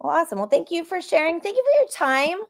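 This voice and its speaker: A woman's voice: very high-pitched, big pitch swings, quick pace, vocal fry, dry recording, clean studio sound. A woman hosting a casual interview over a video call, reacting with enthusiasm. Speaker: host, interviewer. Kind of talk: casual live-stream interview.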